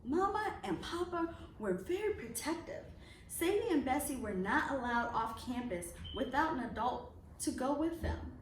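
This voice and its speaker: High-voiced woman reading a storybook, echoey room. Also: lively intonation, normal speed. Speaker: storybook reader for children. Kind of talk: read-aloud of a children's picture book. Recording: echoey room, distant mic, faint noise.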